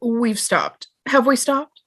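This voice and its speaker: A woman's voice: a little high, expressive, slow, a bit bright, full character voice. A woman playing a chatty middle-aged wife, gossipy and emphatic, in a sci-fi table read. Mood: exasperated.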